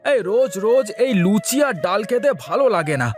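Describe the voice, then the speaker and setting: A man playing a middle-aged village vegetable trader and elder brother in a cartoon, chatty and complaining with drawn-out interjections. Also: sits high, lively intonation, faint music behind. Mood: grumbling. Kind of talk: cartoon dialogue acting.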